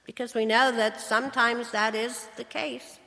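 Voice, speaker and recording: A woman's voice: on the high side, level tone, moderate pace, pushed and bright. A woman pastor preaching a sermon in a church, earnest and asking her listeners questions. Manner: earnest, asks the congregation questions. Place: church sanctuary. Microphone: church microphone, switching mics. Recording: long church reverb.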